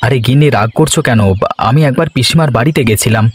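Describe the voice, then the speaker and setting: A man's voice: mid-range voice, fast talker, breathy edge, natural voice. A man voicing a dutiful, good-natured husband and nephew in a cartoon, obliging and eager to explain. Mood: placating. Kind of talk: cartoon voice acting.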